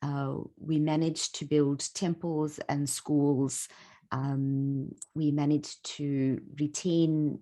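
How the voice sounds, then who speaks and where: Low-voiced woman guest. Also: level tone, extremely slow, warm tone. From South Africa. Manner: reflective, many fillers, laughs easily. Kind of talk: conversational interview storytelling.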